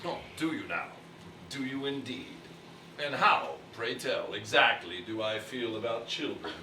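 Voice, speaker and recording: An actor playing a cantankerous, child-hating older merchant villain in stage musical style, pompous, blustering and wordy. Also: male voice, mid-range voice, animated, measured pace, sharp tone, a little hiss or hum. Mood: indignant. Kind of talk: theatrical musical stage acting. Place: theatre stage. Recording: echoey distant stage recording with music.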